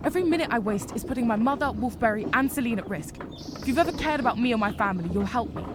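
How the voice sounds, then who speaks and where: A woman's voice: fairly high pitch, lively intonation, very fast, noisy background, close to an everyday voice. A woman playing an earnest, conflicted young rabbit healer's apprentice in a fantasy video game. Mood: urgent, accusing. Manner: earnest, troubled, guarded. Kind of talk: dramatic video game dialogue.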